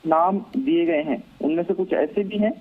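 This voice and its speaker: An Indian man reporting live by telephone as a news correspondent, analysing events in deliberate, halting phrases. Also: on the high side, husky. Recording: narrow, tinny phone line.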